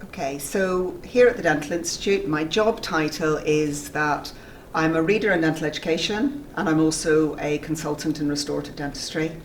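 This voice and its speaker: A woman in her forties with a notably low voice talks in a friendly, measured way, often pausing on an um. She sits a little back from the microphone, with faint hiss behind her. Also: distant mic. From Belfast, Northern Ireland. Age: 40s. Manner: measured and friendly, frequent ums. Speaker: dental school consultant and tutor, interviewee. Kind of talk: relaxed interview chat. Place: dental school, indoors.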